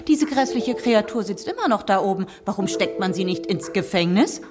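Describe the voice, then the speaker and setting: A woman's voice: medium pitch, animated, normal speed, over music, lightly acted. An actress playing a melodramatic, self-absorbed middle-aged wife and mother in a radio drama, with an affected, gushing manner. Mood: dramatic indignation.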